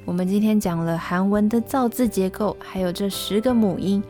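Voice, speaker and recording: A woman's voice: mid-range voice, normal speed, over music, close to an everyday voice. A young woman acting a responsible, caring language tutor in an audio drama, instructive and reassuring. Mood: gently strict. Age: young adult. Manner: instructive, reassuring, gently strict.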